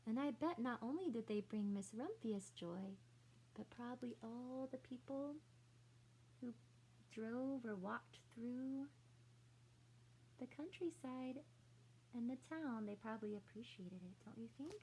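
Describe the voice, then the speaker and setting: Female teacher, fairly high voice, storybook read-aloud with explanatory asides to kids, faint hum behind. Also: measured pace, pauses often, dark tone, poor audio. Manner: explanatory, inviting questions to children. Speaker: teacher reading aloud to children.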